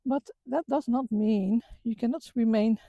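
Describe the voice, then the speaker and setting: A middle-aged woman from the Netherlands with a Dutch accent, talking earnestly about her life with many halting restarts. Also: fairly high pitch, gravelly, no room sound, highs cut off. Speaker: vlogger. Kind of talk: reflective personal talk. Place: outdoors on a walk.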